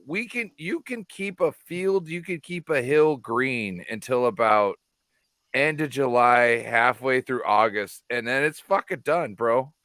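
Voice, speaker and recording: A man's voice: mid-range voice, a little slow, a bit bright, no echo. A man from California chatting casually as a show host, rambling and swearing freely. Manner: loose, profane and rambling. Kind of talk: casual chat.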